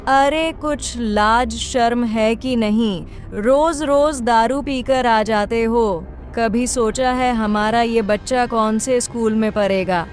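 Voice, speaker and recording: A woman playing a slow, scolding village wife. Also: fairly high pitch, faint music behind. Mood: reproachful. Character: fed-up reproachful village wife and mother. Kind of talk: Hindi cartoon story voice acting.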